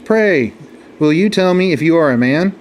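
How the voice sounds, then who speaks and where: Polite male lion, respectful, higher voice, read by a man. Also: expressive, normal speed, a little hiss or hum, close to an everyday voice.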